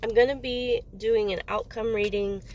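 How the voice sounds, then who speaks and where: A woman's voice: fairly high pitch, steady tone, normal speed, darkish tone, a little hiss or hum. A woman doing a casual tarot reading, sniffly with a cold, rambling and often stopping to search for words. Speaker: tarot reader.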